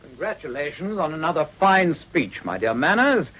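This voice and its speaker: Swooping, genial British prime minister. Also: male voice, on the high side, sing-song, normal speed, fairly bright tone, slight background noise, rough recording. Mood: hearty, avuncular. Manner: hearty, effusive, patrician. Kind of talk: period radio drama acting.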